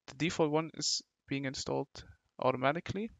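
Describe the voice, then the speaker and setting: A man narrating a step-by-step software tutorial in short, halting instructional phrases into a close mic. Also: fairly high pitch, vocal fry, dry recording. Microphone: close mic, screen-recording voice-over.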